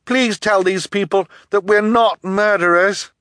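Man voicing an urgent, high-pitched pleading young girl. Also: normal speed, bright, forceful tone, no room sound, clean studio sound. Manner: urgent, imploring. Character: frightened, pleading young girl, earnest and protesting. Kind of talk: audiobook character voice.

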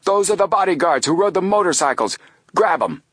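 A man reading a storybook, voicing a flustered, excitable male bank guard at a raised pitch. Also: fairly quick, husky, no room sound, clean studio sound, full character voice. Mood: agitated. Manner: highly excited, stammering.

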